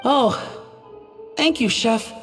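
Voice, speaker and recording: A woman's voice: fairly high pitch, very slow. A woman voicing a timid young boy working as a kitchen helper in a video game, hesitant, stammering and apologetic. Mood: shy. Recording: distant reverberant mix, faint music.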